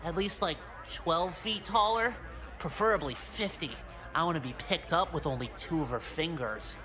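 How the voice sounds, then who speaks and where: A man's voice: fairly high pitch, normal speed, natural voice. A man voicing an awkward, whiny teenage boy outcast who is easily flustered, in a video game. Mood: awkward, sheepish.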